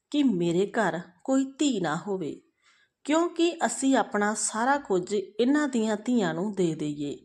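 A woman's voice: medium pitch, a little mellow, close to an everyday voice. A woman storyteller playing a fed-up, protective young mother who scolds indignantly with pointed questions.

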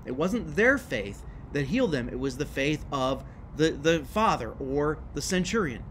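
Lively, emphatic middle-aged man teaching. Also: on the high side, unhurried, a little hiss or hum. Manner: emphatic, lively, gesturing with his hands. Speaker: church history teacher. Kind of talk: scripted video lesson to camera. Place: screened porch, outdoors.

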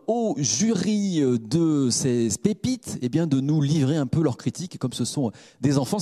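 Middle-aged male host, mellow handheld-mic voice. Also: on the high side. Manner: relaxed, chatty, hesitant with fillers. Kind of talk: hosting a studio book-fair show. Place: small studio set with bookshelves.

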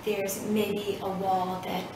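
A woman's voice: medium pitch, little pitch movement. Woman, 50s, from Vietnam, native Vietnamese speaker, oral history interviewee, hesitant, reflective, very echoey room. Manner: hesitant, reflective storytelling with many pauses. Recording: echoey room, distant mic, background noise.

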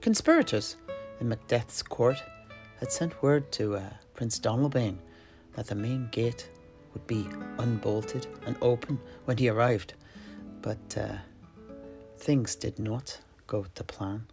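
A man tells fantasy lore in character as a storyteller. His delivery is measured and theatrical, with a conversational hesitancy, and plucked guitar music plays faintly behind him. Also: medium pitch.

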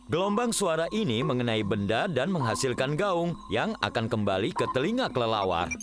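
A man plays a friendly cartoon park ranger with a very animated, mid-pitched voice. He reassures and instructs young listeners clearly. Music plays behind him. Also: natural voice. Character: sturdy friendly adult male park ranger. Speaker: ranger explaining animal facts. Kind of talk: children's cartoon dialogue and explanation.

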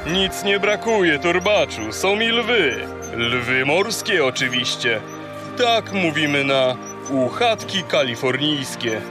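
Gruff boastful sea-god guide, unhurried showman delivery, children's audio play with music underneath. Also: on the high side, expressive. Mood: bossy, teasing. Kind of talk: children's audio drama acting.

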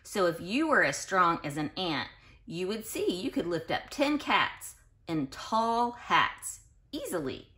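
Woman reading expressively to young children, swooping intonation. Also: on the low side. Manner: expressive, sing-song delivery for young children; pauses to explain and question listeners directly. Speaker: children's read-aloud host. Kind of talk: children's picture-book read-aloud.

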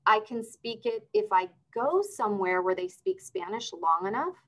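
A woman's voice: mid-range voice, animated, moderate pace. Upbeat woman telling her story. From Argentina. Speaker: guest. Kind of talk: casual interview talk. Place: office with window blinds. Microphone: video call.

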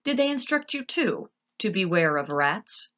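A woman voicing a gruff, brooding old male jailer, solemn and declamatory, in a storybook reading. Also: mid-range voice, very animated, unhurried, a bit bright, lo-fi sound. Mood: warning. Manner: speaks of himself in third person, declamatory.

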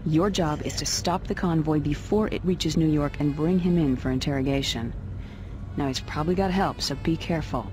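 A woman playing a brisk, authoritative young military officer in a video game cutscene. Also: deep voice, natural voice. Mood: businesslike. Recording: game music behind. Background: music.

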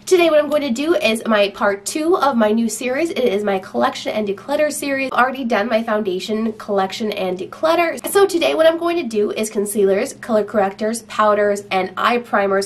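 A young woman with a slight cold, chatting casually to camera at home as she reviews her makeup products. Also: fairly high pitch. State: has a cold. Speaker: beauty vlogger. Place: home room with shelves.

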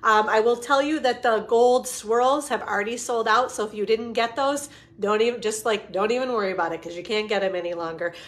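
A woman in her forties, a bit hoarse from a cold, chattily demonstrating craft supplies on a home live stream and greeting viewers by name. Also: fairly high pitch, a little fast, hardly any pauses. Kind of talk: casual live-stream demo. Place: living room at home.

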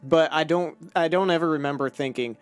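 A man's voice: a little high, fairly quick, clean tone, soft background music. A young man talking in a casual, conversational way as a podcast co-host. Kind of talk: casual chat.

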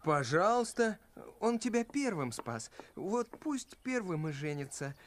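A man playing an earnest, good-hearted young musician hero in an animated fairy tale, boyish and naive. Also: high voice, measured pace, slight background noise. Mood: easygoing. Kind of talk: animated film acting. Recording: film music behind voice.

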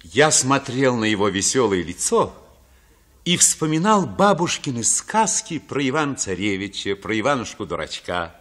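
A man's voice: mid-range voice, very animated, moderate pace, a bit bright. An actor playing a grown man who recalls his childhood, narrating a literary radio play in a reflective way.

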